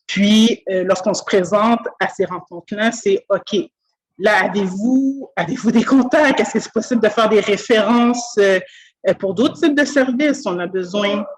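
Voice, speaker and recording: A woman's voice: medium pitch, moderate pace, low-quality recording. A woman from Quebec with a Québécois French accent, a school speech-language pathologist talking through her work thoughtfully and earnestly, with many fillers and restarts, on a video call from a school office. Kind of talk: conversational interview.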